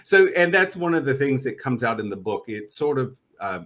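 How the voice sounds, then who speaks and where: A man's voice: medium pitch, sing-song, moderate pace, fairly bright tone. A man hosting a podcast interview, chatty and enthusiastic, laughing often as he talks books with a guest. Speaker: podcast host. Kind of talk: casual interview chat.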